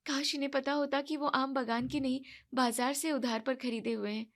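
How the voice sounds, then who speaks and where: A woman as anxious young bride, soft, hurt, pleading, higher and quieter, bedtime storybook. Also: fairly even intonation, moderate pace.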